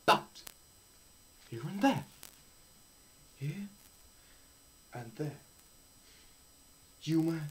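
A young man giving a dramatised science lecture, theatrical, swinging between shouts and hushed murmurs, with pauses and repetition for effect. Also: on the high side, expressive. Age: young adult. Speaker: presenter. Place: small bare indoor room.

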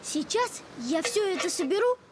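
A woman voicing a friendly, cheerful child of the tundra in an animated series. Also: very high-pitched, moderate pace, background noise. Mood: friendly, explaining. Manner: cheerful, youthful. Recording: music under the voice.

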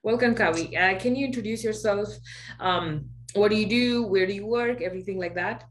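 Woman host on a webcam call, mid-pitched, piling up questions between ums and likes. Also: a little fast, a little hiss or hum. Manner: asks several questions at once, hesitant fillers. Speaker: interviewer, series host. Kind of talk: casual video call interview. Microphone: video call webcam.

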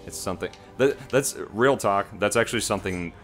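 Low-pitched young guy joking crudely. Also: brisk, fairly bright tone, faint music behind. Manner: crude, swearing banter. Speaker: let's play co-host. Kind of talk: casual joking chat over video game play.

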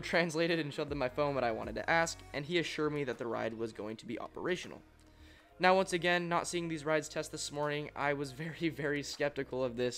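A young man telling a travel story as a vlog voice-over, in an excited, storytelling way. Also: on the high side. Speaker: coaster vlogger. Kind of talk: voice-over narration. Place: voice-over, not at the park.

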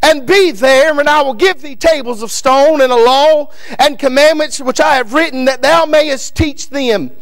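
A man in his thirties with a Kentucky accent preaching a fiery Baptist sermon from a pulpit in a church sanctuary, often shouting and calling out men in the pews by name. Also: extremely high pitch, moderate pace, hardly any pauses. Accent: Northern Kentucky. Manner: builds to shouting, repeats lines, addresses men in the pews by name. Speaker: guest preacher. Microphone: pulpit microphone.

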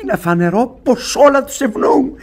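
An actor playing a scheming, excitable old father in a theatrical radio comedy, gushing and repeating himself. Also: extremely high pitch, heavily acted. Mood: grumbling. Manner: exclamatory, gushing, repetitive.

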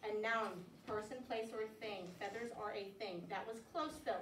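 A woman teaching a class of young children, lively and coaxing, with call-and-response cues. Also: a little high, moderate pace. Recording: echoey classroom from far off, light noise.

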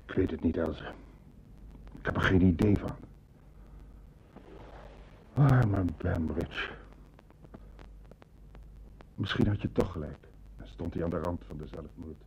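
An actor playing a polite, easygoing gentleman in a radio detective play, courteous and casual. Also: low voice, takes it slowly, long pauses. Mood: sober. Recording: dull, noisy radio play recording.

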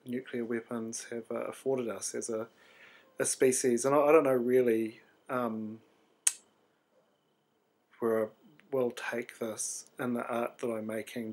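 A New man in his thirties talks through a video diary at a mid pitch. He sounds tired and drowsy, and his speech halts on ums and false starts as he thinks aloud. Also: a little mellow, low-quality recording. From New Zealand. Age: 30s. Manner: hesitant, many ums and restarts. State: sleepy. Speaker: artist video diarist. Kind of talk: rambling video diary monologue.

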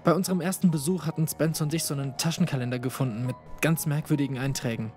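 An actor playing a hesitant young student investigating a disappearance, stumbling over fillers, in a fan audio drama. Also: male voice, a little high, fairly even intonation, fast talker, over music, natural voice.

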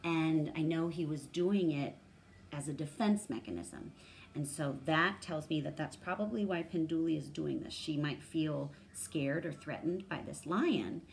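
A woman in her thirties reading a children's story aloud and pausing to explain words, thinking aloud like a teacher. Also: sits low, vocal fry, darkish tone, a little hiss or hum. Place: small room at home, indoors.

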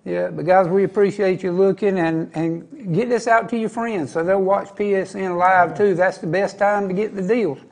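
A high-voiced man with a Southern American accent hosts a live shopping show. He chats in a folksy, unhurried sales style, wandering a little as he goes. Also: fairly even intonation, gravelly, rough recording. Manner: folksy, casual, rambling. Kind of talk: chatty live sales pitch.

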